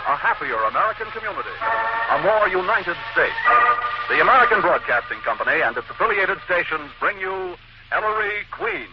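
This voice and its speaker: An actor playing a formal, declamatory announcer on a 1940s radio detective show. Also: male voice, high-pitched, sing-song, a little fast, creaky, over loud music, heavily acted. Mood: grand, formal. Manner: grand, declamatory delivery. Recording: dull, noisy old radio broadcast.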